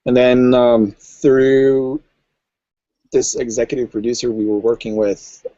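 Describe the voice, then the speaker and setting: A man, a music producer, explaining things thoughtfully in an interview with many pauses and ums. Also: mid-range voice, flat delivery, warm tone, no room sound, muffled recording. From Norway. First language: Norwegian. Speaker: electronic music producer, guest. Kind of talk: casual interview chat.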